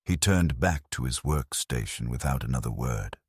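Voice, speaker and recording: Very deep, gravelly male story narrator. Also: animated, moderate pace, no room sound, clean studio sound. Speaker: narrator of a science-fiction lore story. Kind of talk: scripted first-person dramatic audiobook narration.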